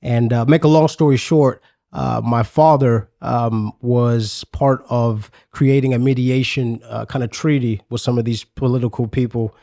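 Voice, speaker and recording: A man from South Africa, a podcast guest, telling his life story in a relaxed, hesitant, reflective way. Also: medium pitch, measured pace, gravelly, no room sound, clean studio sound. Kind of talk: casual interview.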